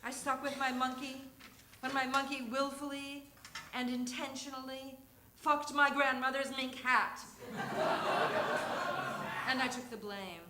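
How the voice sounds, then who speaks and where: Female reader, fairly high voice, theatrical comic storytelling, noisy live stage recording. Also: fairly even intonation, unhurried, pauses often, roomy sound. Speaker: reader of a humorous memoir story. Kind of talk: live dramatic reading of a comic personal story. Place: theatre stage before an audience.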